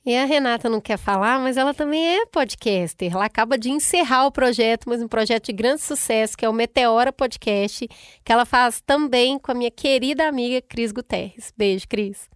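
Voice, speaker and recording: Uma mulher brasileira, co-apresentadora de podcast, abrindo o episódio e apresentando as convidadas. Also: female voice, a little high, fairly quick, clear, resonant tone, dry recording, studio quality. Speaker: podcast co-host. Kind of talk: podcast conversation.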